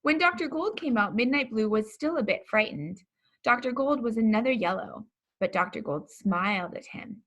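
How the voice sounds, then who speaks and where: A woman reading a children's story aloud in an expressive, deliberate storytelling manner. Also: on the high side. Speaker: pastor reading a children's book.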